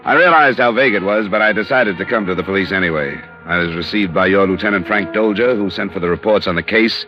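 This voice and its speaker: An actor playing a sober, dogged American insurance investigator in a 1950s radio drama, with a measured, matter-of-fact delivery. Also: male voice, deep voice, music in the background, natural voice. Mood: sober narrating. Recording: dull, lo-fi old radio recording.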